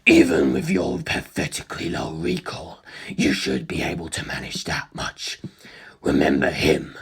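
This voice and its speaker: A narrator voicing an ancient, arrogant superhuman male villain in an audiobook, lecturing humans with cold contempt in a rasping, breathy growl. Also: very deep voice, huge pitch swings, unhurried, in a whisper, voice pushed down, heavily acted. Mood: impatient. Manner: condescending, lecturing, disdainful. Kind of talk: audiobook character dialogue.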